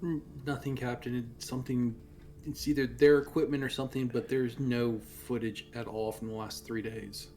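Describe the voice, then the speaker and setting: A man's voice: mid-range voice, steady tone, normal speed, a little mellow. A man playing a tabletop roleplaying game, talking in character in a hesitant, understated way.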